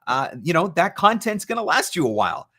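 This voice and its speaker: A man's voice: on the high side, wildly swooping pitch, brisk, pushed and bright. Emphatic man hosting a live advice session. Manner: emphatic, uses rhetorical questions. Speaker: host, voice-over marketing coach. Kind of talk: live question-and-answer advice talk.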